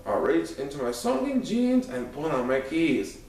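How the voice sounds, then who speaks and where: A man's voice: fairly high pitch, wildly swooping pitch, moderate pace, darkish tone, slight background noise. A man reading a first-person story aloud as a scripted narration. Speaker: audiobook narrator. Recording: echoey room, far from microphone.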